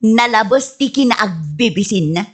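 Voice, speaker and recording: A woman's voice: medium pitch, animated, moderate pace, lightly acted. A woman playing a jealous, quarrelsome middle-aged wife in an Ilocano comedy radio drama, petulant and scornful, with a Filipino Ilocano accent.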